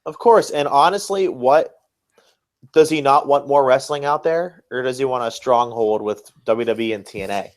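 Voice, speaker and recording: A man's voice: medium pitch, normal speed. A man hosting a podcast, chatting casually with blunt, dismissive opinions. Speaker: podcast host.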